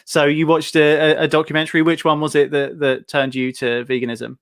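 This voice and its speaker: A man's voice: fairly high pitch, level tone, a little fast, a bit bright, clear, resonant tone, dry recording, clean studio sound. A young man hosting a casual video-call interview, enthusiastic and chatty, with many fillers and restarts. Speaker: host.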